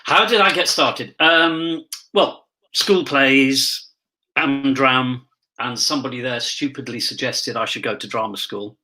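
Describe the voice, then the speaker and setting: A man's voice: mid-range voice, measured pace, sharp tone, poor audio. A man in his sixties chatting as an interview guest, telling career anecdotes in a theatrical, drily jokey way. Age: 60s. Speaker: actor and pantomime dame, interview guest. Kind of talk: casual anecdotal chat.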